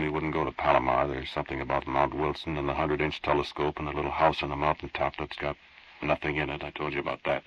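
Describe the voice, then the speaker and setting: A man's voice: a bass voice, sharp tone, dull audio, exaggerated voice. An actor playing a grave, insistent man who warns of an alien invasion in an old radio drama. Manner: intense, insistent, ominous. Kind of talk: 1940s radio drama acting.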